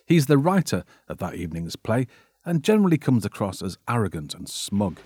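A man's voice: lowish voice, big pitch swings, a little fast, darkish tone, husky, no echo, clean studio sound. A man delivering scripted narration as the presenter of a film synopsis.

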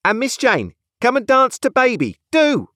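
A brisk nursemaid from a classic novel, played by a male narrator, very high in pitch and full of lively swoops. She orders everyone about with urgency. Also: no echo, clean studio sound, exaggerated voice. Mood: urgent. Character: brisk, bossy female nursemaid. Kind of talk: dramatised classic novel reading.

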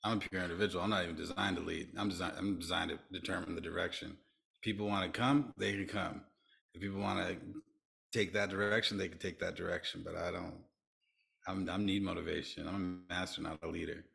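A man's voice: deep voice, fairly even intonation, fast talker, gravelly, fairly bright tone. A middle-aged man speaking earnestly as a podcast guest, often restarting and repeating himself. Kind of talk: reflective interview talk.

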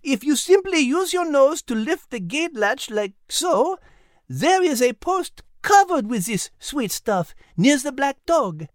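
A man's voice: extremely high pitch, big pitch swings, measured pace, no room sound, clean studio sound, pitched above the performer's own voice, exaggerated voice. A man voicing a coy, giggly female storybook animal with a dainty, refined manner and a sprinkling of French phrases. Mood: playful.

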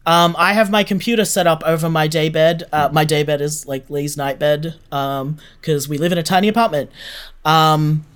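A man's voice: on the high side, steady tone, normal speed, a bit bright, smooth. A man giving chatty, informal answers as an interview guest. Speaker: interview guest, podcast creator. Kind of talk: casual conversational interview answers.

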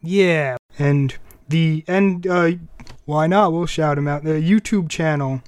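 Male podcast host, slightly high-pitched, dry sound, enthusiastic and loosely conversational. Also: slow, clear, resonant tone, no echo. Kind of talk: casual music and anime chat.

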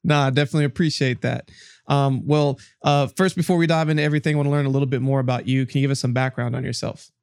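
A man's voice: medium pitch, steady tone, rapid-fire, vocal fry, darkish tone, clear, resonant tone, dry recording, clean studio sound. A man hosting a podcast, talking in an upbeat conversational way, interviewing a guest and reading promos. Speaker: podcast host. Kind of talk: casual interview and read ad.

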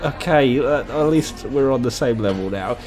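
A man playing a hesitant, bemused dinner date who speaks politely and haltingly in an improvised comedy radio play. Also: mid-range voice, animated, over music, lightly acted. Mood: bemused, wary.

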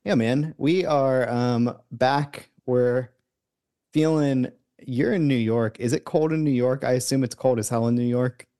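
A man's voice: medium pitch, unhurried, warm tone, clean tone. A man co-hosting a casual sports podcast, talking loosely with lots of fillers and restarts. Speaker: podcast co-host. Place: remote recording, separate from co-host.

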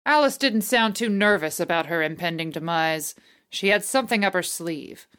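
An actress playing a wry, hard-boiled private eye in a radio play, with a dry, sardonic manner. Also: female voice, lowish voice, normal speed, fairly bright tone, clear, resonant tone, close to an everyday voice. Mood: wry, world-weary. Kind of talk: radio play noir narration and dialogue.

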